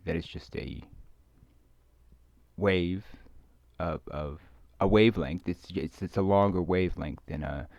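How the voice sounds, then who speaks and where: A man rambling casually in a hesitant, halting, musing way, often trailing off as he thinks aloud. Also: deep voice, gravelly, darkish tone, dry recording. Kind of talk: rambling casual monologue, ASMR roleplay. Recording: dull lo-fi close dry recording.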